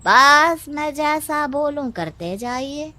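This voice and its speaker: A woman voicing a cunning male village adviser in a cartoon story, insinuating and drawn-out. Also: high-pitched, expressive, bright, forceful tone, exaggerated voice. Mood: sly, scheming.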